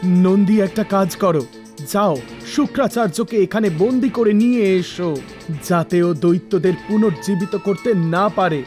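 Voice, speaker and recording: A man voicing a stern, protective and wrathful god in an animated myth, with authoritative declamation. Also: sits high, measured pace, faint music behind. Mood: stern, commanding. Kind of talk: animated mythology story acting.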